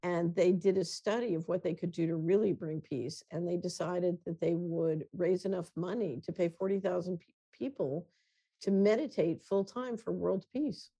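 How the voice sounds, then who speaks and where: A woman guest on a remote interview, explaining her ideas in a measured, conversational way with occasional restarts. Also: lowish voice, fairly even intonation, dark tone, breathy edge, poor audio. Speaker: guest, runs a financial company.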